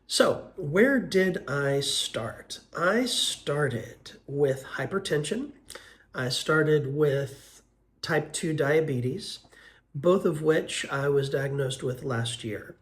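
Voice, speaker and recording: Hoarse man in his fifties. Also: a little high, lively intonation. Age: 50s. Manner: earnest, unhurried, frequent uh and um. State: hoarse from a cold. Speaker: vlogger. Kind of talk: casual weight-loss update vlog.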